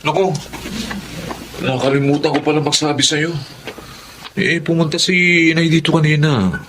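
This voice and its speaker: A man's voice: a little high, steady tone, moderate pace, fairly bright tone, slight background noise, lightly acted. Fernan, man acting a hesitant, sheepish husband. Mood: sheepish, placating. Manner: hesitant, appeasing. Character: Fernan, sheepish, placating working-class husband. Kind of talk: Filipino radio drama acting.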